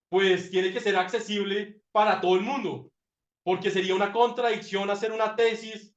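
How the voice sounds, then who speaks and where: A man presenting his doctoral thesis in a master class, talking reflectively with frequent fillers and repeated words. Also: sits high. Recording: roomy, dull lo-fi sound.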